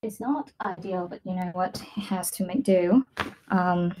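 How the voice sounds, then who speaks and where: A woman's voice: fairly low pitch, unhurried, background noise. Young woman, hesitant and conversational. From Vietnam. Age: 20s. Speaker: English student, data scientist. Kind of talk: casual conversational answers in an online lesson.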